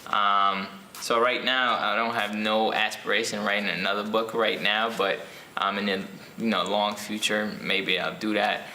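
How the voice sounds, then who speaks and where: Bright-voiced young man with many ums. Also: fairly low pitch, level tone, normal speed, echoey room, a little hiss or hum. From Ethiopia. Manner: hesitant, many ums and restarts. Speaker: author being interviewed. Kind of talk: casual interview answers.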